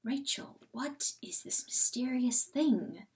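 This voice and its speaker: A woman's voice: sits high, animated, slow pace, a little mellow, whispered, far from the mic. A woman playing an anxious, timid male coyote husband in a storybook reading, sighing and nearly whining.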